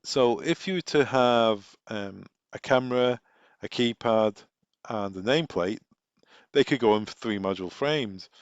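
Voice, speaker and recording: A man's voice: medium pitch, unhurried, clear, resonant tone. A man presenting informal product training, explaining things step by step through practical examples. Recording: echoey room, dull muffled sound.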